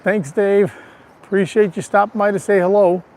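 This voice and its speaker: A man with a raspy, allergy-roughened voice chatting casually to viewers while walking outdoors, recorded on a handheld phone. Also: high voice, steady tone, normal speed, darkish tone. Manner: chatty, greets viewers by name. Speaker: livestream vlogger. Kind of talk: casual live walking tour. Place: outdoors on a town street.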